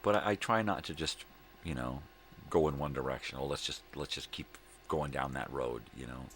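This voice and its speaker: A middle-aged man with a gravelly voice, rambling casually and folksily as he hosts a morning vlog, often repeating himself. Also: normal speed, fairly bright tone. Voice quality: gravelly, very deep. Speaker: host of a daily vlog. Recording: clear background room noise.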